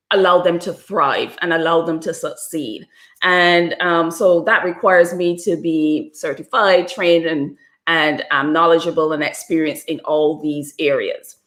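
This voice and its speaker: A woman's voice: fairly low pitch, fairly bright tone. A woman with a Barbadian accent, a guest author and coach on an interview podcast, explaining at length with occasional fillers.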